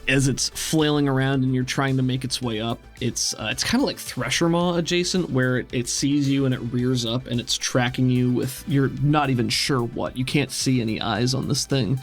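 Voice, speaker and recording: Male game master, medium pitch, vivid descriptive narration, casual asides, tabletop game, background music. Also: normal speed, hardly any pauses.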